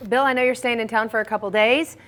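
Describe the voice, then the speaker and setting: A woman's voice: fairly high pitch, steady tone, fast, fairly bright tone. An American woman working as a TV news anchor, chatting at the desk and reading local news.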